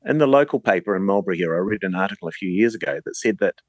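A man's voice: sits low, expressive, very fast, no room sound. A man with a New Zealand accent telling anecdotes in a casual radio conversation as a guest.

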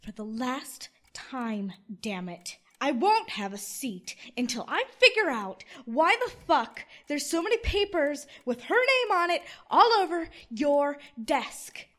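A woman's voice: sits high, sing-song, slow, few pauses, a bit bright, exaggerated voice. A woman playing a defiant, blunt young blind college student in an audio drama, sarcastic and demanding. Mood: angry, impatient. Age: young adult.

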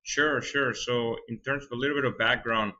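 A man's voice: mid-range voice, fairly even intonation, normal speed, pushed and bright, lo-fi sound. A man in his thirties from Venezuela, a fund manager answering interview questions thoughtfully and often repeating a word as he finds the next one. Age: 30s. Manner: thoughtful, repeats words while finding them. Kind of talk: podcast interview.

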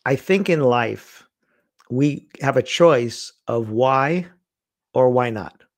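A man's voice: mid-range voice, slow pace, dry recording. A middle-aged man telling stories in a casual conversation, acting out the lines he quotes. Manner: animated storyteller, acts out quoted lines. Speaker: guest.

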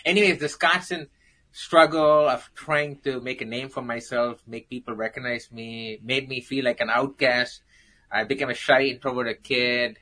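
A man's voice: mid-range voice, steady tone, moderate pace, sharp tone, poor audio. A man with an Indian accent, a guest on a talk show, telling his life story with self-deprecating jokes. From South India.

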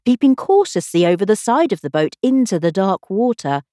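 A woman reading a children's classic aloud as expressive storybook narration. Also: mid-range voice, normal speed, no echo, clean studio sound. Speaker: audiobook reader.

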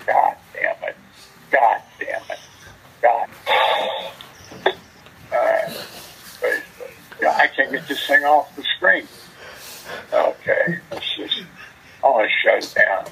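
A man's voice: mid-range voice, huge pitch swings, slow, gravelly, long pauses, bright, forceful tone. An older man muttering in exasperation at a computer, frustrated, irritable and swearing as he grumbles. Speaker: host's father. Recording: noisy home recording, background sounds.

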